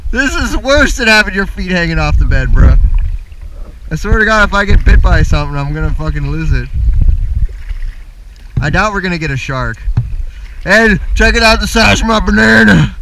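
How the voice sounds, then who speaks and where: A man's voice: sits high, lively intonation, moderate pace, creaky, pauses often. A young man vlogging casually outdoors from a kayak, crude and joking and laughing often, recorded on an action camera. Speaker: motovlogger. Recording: distant camera mic, loud wind and water.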